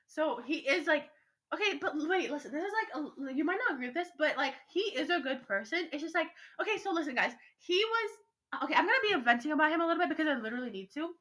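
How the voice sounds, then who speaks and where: Teenage girl, high bright voice, giggly, rambling, gushing, casual storytime podcast chat. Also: lively intonation, fast talker. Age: young teenager. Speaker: podcast co-host.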